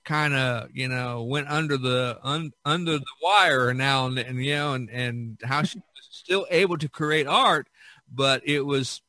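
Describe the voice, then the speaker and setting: Male podcast host, bright forceful tone, very dry close sound, casual rambling storyteller. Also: mid-range voice, a little slow, dry recording. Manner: rambling, repeats and circles back. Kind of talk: casual chat, reminiscing.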